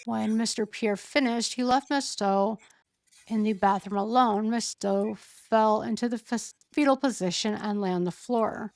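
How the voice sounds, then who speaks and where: A hoarse woman reading a court filing aloud and pausing to think aloud between passages. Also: a little high, darkish tone, dry recording. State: hoarse, losing her voice. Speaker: true-crime commentator.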